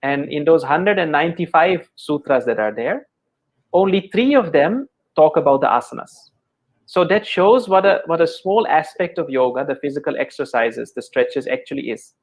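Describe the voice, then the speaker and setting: A middle-aged man from the Netherlands, Dutch by first language, whose English has picked up a clear Indian accent. His voice sits a little high for a man, and he talks in a measured, gentle way, pausing on fillers and repeating words as he explains. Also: lively intonation. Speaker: yoga teacher, guest. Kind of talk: thoughtful conversational explanation.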